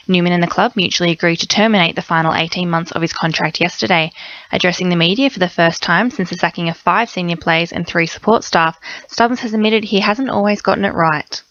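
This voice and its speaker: A woman's voice: fairly low pitch, fairly bright tone, no echo, dull audio. An Australian woman reading a scripted sports bulletin on the radio.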